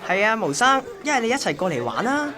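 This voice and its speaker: Woman as high-voiced, possessive young male courier. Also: big pitch swings, quick pace, a crowd in the background. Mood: friendly, solicitous. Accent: Hong Kong Cantonese. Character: young male courier, obsessively devoted and resentful. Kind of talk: radio drama acting.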